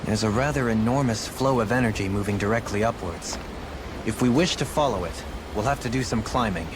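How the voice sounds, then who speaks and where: Calm, formal male scholar-noble for a fantasy game, acted by a man, analytical, fairly low register. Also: a little fast, background noise, natural voice. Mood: calmly analytical. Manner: formal, precise. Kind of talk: English video game cutscene acting. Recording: game music behind the voice.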